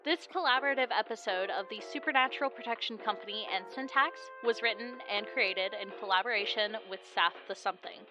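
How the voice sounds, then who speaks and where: A woman's voice: a little high, fairly quick, hardly any pauses, low-quality recording. A woman podcast producer giving a spoken recommendation. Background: music.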